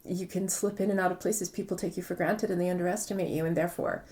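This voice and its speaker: A woman's voice: fairly low pitch, fairly even intonation, fast talker, warm tone. A woman speaking conversationally as an interviewed author, explaining things reflectively and repeating words for emphasis. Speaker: interviewed novelist.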